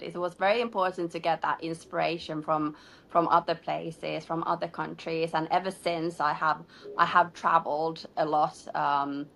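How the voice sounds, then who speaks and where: A woman's voice: lowish voice, creaky, a bit bright. A woman from Finland, a shoe designer, answering interview questions in a chatty way, full of "like" and light laughs. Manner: chatty, many "like" fillers, laughs between phrases.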